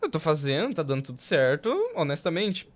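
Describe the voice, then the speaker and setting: A man's voice: on the high side, animated, a little fast. A man with a Brazilian accent hosting a podcast in casual conversation. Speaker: podcast host.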